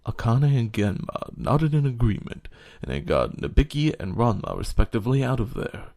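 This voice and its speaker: Male narrator, mellow, mid-pitched, scripted storytelling. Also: big pitch swings, moderate pace.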